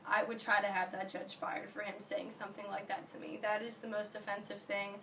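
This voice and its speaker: Young woman, hoarse from illness, earnest student speech, halting delivery, distant mic. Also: medium pitch, flat delivery. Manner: earnest, halting, many fillers and restarts. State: hoarse from being sick. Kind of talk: class speech. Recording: distant mic, faint ambient noise.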